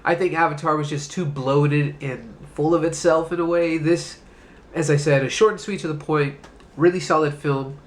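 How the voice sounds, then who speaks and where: A man's voice: fairly high pitch, steady tone, normal speed. Stuffed-up man with a cold, casual reviewer. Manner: loose, rambling, swears casually. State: congested, stuffed-up nose; coughing, sick. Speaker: movie review host. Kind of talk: casual solo video review.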